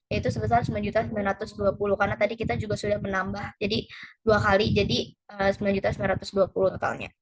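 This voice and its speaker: Young woman, mid-pitched and slightly husky, for a hesitant, informal tutorial read. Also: rough recording. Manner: hesitant, conversational, mixes in English terms. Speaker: presenter explaining an accounting lesson.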